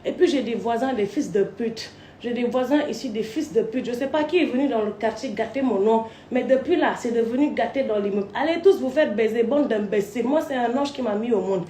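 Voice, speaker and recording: Foul-mouthed high-voiced woman ranting. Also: brisk, dark tone, a little hiss or hum. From Côte d'Ivoire. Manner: crude, swearing, wandering storytelling. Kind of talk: rambling live rant. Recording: roomy echo.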